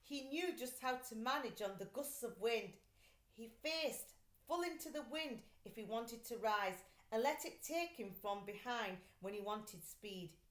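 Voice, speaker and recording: A high-pitched woman reads a children's storybook aloud in an echoey room. Her delivery is very lively and dramatic, swinging up and down as she narrates for young listeners. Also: measured pace. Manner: animated, dramatic character voicing in dialogue. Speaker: teacher reading a children's book aloud. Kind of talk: expressive storybook read-aloud.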